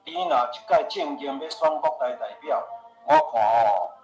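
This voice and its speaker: An actor with a Taiwanese accent playing an earnest, insistent pharmacy owner and worried homeowner in a radio drama. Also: male voice, medium pitch, moderate pace, darkish tone, close to an everyday voice. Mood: insistent, persuading. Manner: persuasive, plain-spoken, insistent. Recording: roomy echo with music behind.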